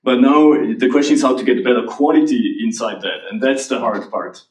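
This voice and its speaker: A man answers audience questions at a conference, often restarting his sentences and laughing lightly. His voice sits at an ordinary pitch and carries the echo of a large hall, picked up from some distance. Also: fairly quick. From Switzerland.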